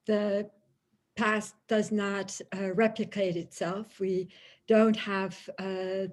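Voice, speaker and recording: A woman with a Dutch accent, Dutch her first language, giving a thoughtful lecture-style talk with many fillers and restarts. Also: mid-range voice. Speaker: guest lecturer.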